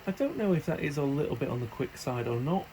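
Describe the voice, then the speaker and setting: A slightly croaky man chatting casually as he shows off and tests a model locomotive, repeating his praise and filling pauses with ums. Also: a little high, big pitch swings, warm tone, slight background noise. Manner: chatty, repeats praise words, many fillers. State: croaky from hay fever and little sleep. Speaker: model railway hobbyist reviewer. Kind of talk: casual unboxing and test-run commentary.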